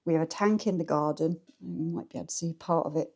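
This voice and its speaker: A woman's voice: sits low, quick pace, creaky, a little mellow, highs cut off. A woman with an English accent chatting frankly to camera from her living room at home, talking straight to her viewers. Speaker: vlogger. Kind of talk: casual chat to camera. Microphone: camera set up in the room.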